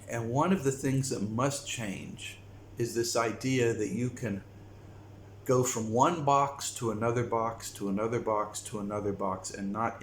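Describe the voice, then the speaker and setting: A man from the United States talking slowly and reflectively to his viewers in a casual vlog. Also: on the low side. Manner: slow, reflective, with frequent pauses. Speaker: vlogger. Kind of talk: casual talk to camera. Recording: echoey room with faint noise.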